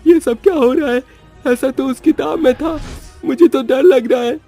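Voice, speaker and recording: Man voicing a frightened schoolboy, very high-pitched. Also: normal speed, dark tone, soft background music. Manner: pleading, repeating himself in fright. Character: scared schoolboy trying to survive a haunted school. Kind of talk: animated horror story voice acting.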